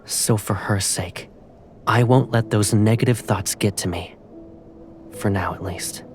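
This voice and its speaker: A man playing a confident, tender young pilot in a romantic game drama, speaking softly and intimately. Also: fairly low pitch, unhurried, a little hiss or hum, close to an everyday voice. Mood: pensive, quiet.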